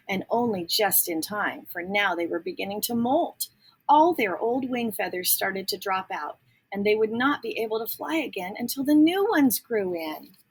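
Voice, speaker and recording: A woman reading a children's storybook aloud expressively, pausing to add simple explanatory asides. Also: mid-range voice, breathy edge, poor audio. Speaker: storybook reader.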